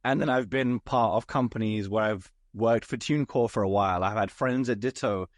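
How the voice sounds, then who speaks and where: A man hosting an interview, his voice hoarse and raspy, asking structured, articulate questions. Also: fairly low pitch, normal speed, dry recording, clean studio sound. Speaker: podcast host. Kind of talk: interview, conversational.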